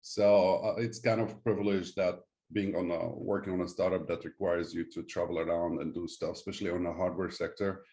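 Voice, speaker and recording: Low, mellow-voiced male founder, Syrian-born. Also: flat delivery. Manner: halting, non-native phrasing. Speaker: startup founder, guest. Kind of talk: conversational interview.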